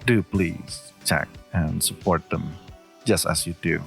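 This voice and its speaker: A man's voice: deep voice, fairly even intonation, takes it slowly, gravelly, faint music behind. A man speaking as a channel host, addressing his listeners in an outro.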